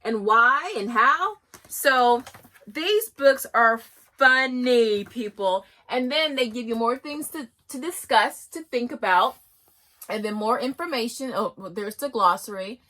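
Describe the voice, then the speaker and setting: Bright, chatty woman. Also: fairly high pitch, expressive, unhurried. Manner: chatty and enthusiastic, with frequent restarts and laughs. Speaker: homeschool book reviewer. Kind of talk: casual book review with read-aloud excerpts.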